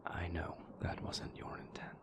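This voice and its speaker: An actor playing a remorseful, formal and guarded vampire boyfriend in an intimate roleplay audio, halting and careful, with a breathy, gravelly low voice. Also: male voice, whispered, natural voice. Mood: confessional, halting.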